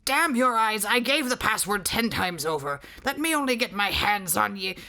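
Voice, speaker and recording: A woman's voice: mid-range voice, animated, moderate pace, bright, forceful tone, voice pushed up. A woman voicing a hot-tempered giant outlaw who grumbles and swears. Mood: angry. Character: gruff jingling giant male outlaw.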